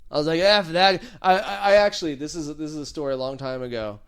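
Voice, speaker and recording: A man co-hosting a comedy podcast, telling stories casually in an excitable, self-mocking way with stammered restarts. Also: on the high side, fast talker, smooth.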